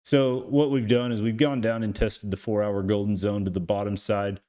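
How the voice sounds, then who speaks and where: A man's voice: lowish voice, flat delivery, normal speed, warm tone. The Texan man you'd hear on an online trading channel, chatting folksily through market charts like a neighbor over the fence. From Texas, USA. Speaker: host of a crypto trading channel. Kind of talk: casual market chart commentary.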